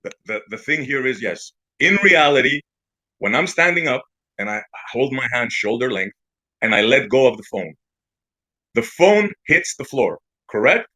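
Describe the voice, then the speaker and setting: Male debater, mocking and pushy, animated pitch. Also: normal speed. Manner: taunting, calls others bro. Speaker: debate participant. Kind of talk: heated online debate.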